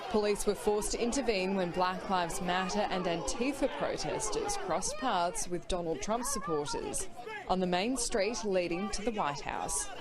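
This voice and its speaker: A woman reporter delivering broadcast news narration in a measured, formal way. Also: medium pitch, expressive, over a noisy crowd.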